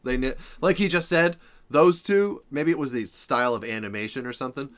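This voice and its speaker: A man's voice: mid-range voice, brisk, a bit bright. A man giving casual reaction commentary as the host, informal and approving, often repeating his points.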